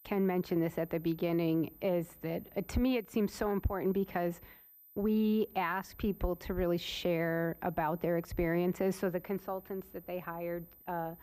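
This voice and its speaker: A woman commissioner speaks earnestly at a city meeting. Her voice is mellow and her pitch stays flat and even. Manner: measured, earnest. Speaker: commission member. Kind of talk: meeting discussion.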